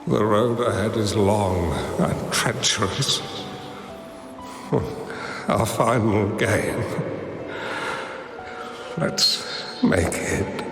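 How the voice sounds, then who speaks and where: A man playing a gentle, resigned old master strategist in a video game, speaking solemnly with a gravelly voice. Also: fairly low pitch. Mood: solemn reassurance. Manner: slow, measured, solemn. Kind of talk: scripted game voice acting. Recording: long echoing reverb, music underneath. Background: music.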